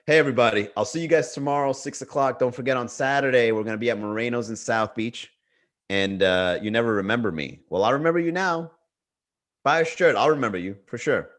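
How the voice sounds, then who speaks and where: A man's voice: medium pitch, a little fast, fairly bright tone. A man with a Miami accent hosting a comedy livestream, riffing loosely and joking with his chat. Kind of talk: casual livestream stand-up riff.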